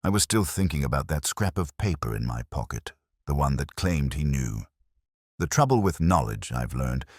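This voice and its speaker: A man narrates a literary mystery in an extremely low-pitched voice. His reading is formal and reflective, with measured phrasing that rises and falls in a lively range. The sound is dry and close, free of room echo. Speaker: narrator of a detective mystery story. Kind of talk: literary scripted narration, first-person detective story.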